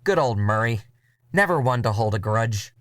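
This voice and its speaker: A man narrating a first-person noir audio drama in a wry, cynical voice with drawn-out phrasing. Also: medium pitch, lively intonation, a bit bright, no room sound, clean studio sound. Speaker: narrator and writer. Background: music.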